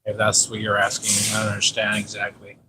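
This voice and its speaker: A man's voice: on the low side, monotone, a little fast. A man in his fifties with a Californian American accent, chatting casually on a livestream in a loose, slangy, repetitive way. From San Diego, California, United States. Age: 50s. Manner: repeats himself, loose slangy storytelling. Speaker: livestream host. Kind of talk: casual rambling livestream chat. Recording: clearly noisy ambient livestream audio.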